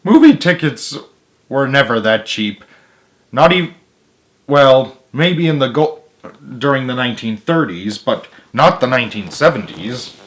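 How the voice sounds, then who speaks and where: A man's voice: mid-range voice, lively intonation, unhurried. A man reading a picture book aloud as the host, with chatty asides of his own. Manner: chatty, interjects own comments and chuckles. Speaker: story reader, host of a read-aloud series.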